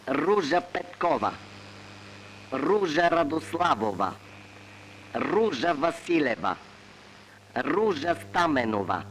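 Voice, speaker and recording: A man playing a cold, impersonal party herald reading a formal official announcement over the radio. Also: a little high. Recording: noisy, humming radio broadcast.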